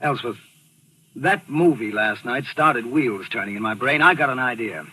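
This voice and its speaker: A man's voice: medium pitch, expressive, moderate pace, slight background noise, rough recording. An American actor playing a bitter, jealous husband narrating his story in a controlled, clipped way in a 1940s radio mystery. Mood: guarded, probing. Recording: old radio broadcast with loud music.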